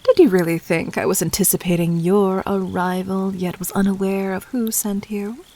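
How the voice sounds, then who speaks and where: A woman playing a poised, seductive demon queen in an intimate audio drama, breathy and husky, speaking with formal, teasing condescension. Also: medium pitch, little pitch movement, moderate pace, warm tone, lightly acted. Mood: knowing, commanding.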